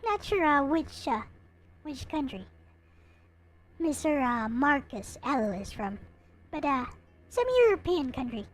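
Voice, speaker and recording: A woman hosting a visual novel read-through, speaking in casual, halting asides. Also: sits high, expressive. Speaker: host reading a visual novel aloud. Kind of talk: casual commentary on a game.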